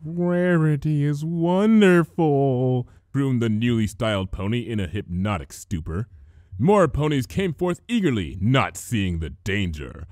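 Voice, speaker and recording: A man's voice: fairly low pitch, big pitch swings, normal speed, darkish tone, dry recording, clean studio sound. A man reading a story aloud as narrator, in a scripted, dramatic storytelling style. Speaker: fan fiction reader, narrator.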